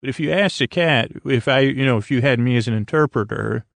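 Creaky-voiced man rambling through a bedtime podcast. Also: medium pitch, a bit bright, no echo. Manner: meandering, hesitant, halting, trailing off mid-sentence. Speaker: host of a sleep podcast. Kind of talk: rambling whimsical bedtime monologue.

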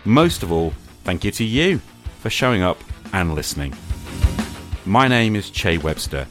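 A man's voice: lowish voice, sing-song, a little slow, music in the background. A man with a British accent hosting a podcast in a casual, chatty style. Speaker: podcast host. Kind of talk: casual interview chat and sign-off.

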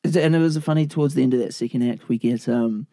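A man co-hosting a podcast, chatting about a film in a loose, rambling, jokey way, his voice raspy and sleepy from the late hour. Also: medium pitch, fast talker, warm tone, no room sound. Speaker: podcast co-host. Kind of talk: casual film review chat.

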